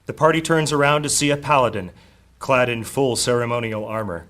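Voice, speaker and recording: A man's voice: medium pitch, a little fast, fairly bright tone. A man narrating a scripted fantasy story in the present tense.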